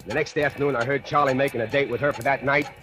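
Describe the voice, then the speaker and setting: A man's voice: medium pitch, steady tone, quick pace, close to an everyday voice. An actor playing a scheming factory worker who narrates his own murder plot, confiding and gloating, in 1940s radio acting. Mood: sly. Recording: old radio broadcast with music.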